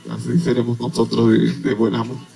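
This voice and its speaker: A man speaks as a guest on a radio program. His voice is heavily gravelly and creaky, sitting in an ordinary middle pitch. He explains at an unhurried pace, pausing often with fillers. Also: completely flat delivery, mellow, soft background music. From Venezuela. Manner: explanatory, unhurried with many hesitations. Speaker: agronomist guest. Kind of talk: radio interview.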